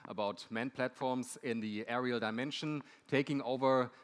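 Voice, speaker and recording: A man's voice: medium pitch, steady tone, normal speed. A man moderating a conference panel, introducing the topic and speakers from the stage. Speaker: panel moderator, university lecturer. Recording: echoey hall.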